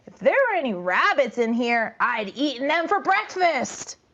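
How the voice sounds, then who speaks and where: A woman voicing a hungry, gruff male fox from a storybook, scheming and drawing out the words. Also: sits high, very animated, moderate pace, pushed and bright, lo-fi sound, full character voice. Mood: gloating.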